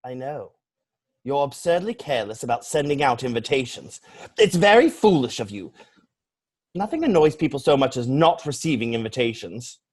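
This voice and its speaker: A man playing a witty, flippant young English gentleman in a stage comedy reading, declaiming with pompous mock seriousness. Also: medium pitch, very animated, normal speed, slightly rough. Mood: airy indignation.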